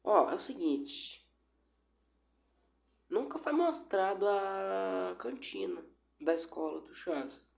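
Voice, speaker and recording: A woman's voice: mid-range voice, expressive, slow pace, gravelly, pauses often, a little mellow. A woman giving casual, off-the-cuff reaction commentary.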